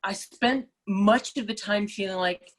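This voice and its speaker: A middle-aged woman opera singer, speaking as a guest in a relaxed interview, thoughtful and full of small restarts and fillers. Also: medium pitch, measured pace. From Malaysia. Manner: thoughtful, many restarts and fillers, laughs easily. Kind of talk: casual interview chat.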